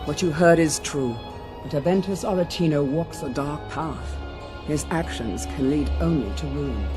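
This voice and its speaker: A woman's voice: low voice, moderate pace, over music, natural voice. A woman playing a worried, protective caretaker in a fantasy video game, speaking in a grave, cautioning, dramatic way.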